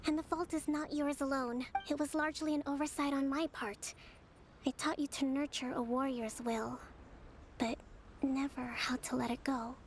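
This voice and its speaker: An actress playing a gentle, dignified young priestess and military leader in a video game, speaking in formal, measured phrases. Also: female voice, high voice, fairly even intonation, slight background noise. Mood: earnest, measured. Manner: formal, measured, composed diction. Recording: game music behind the voice.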